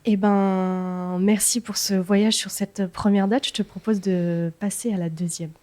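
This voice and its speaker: A woman's voice: mid-range voice, steady tone, unhurried, darkish tone. A woman hosting a podcast interview in a conversational style, setting scenes and putting questions to her guest.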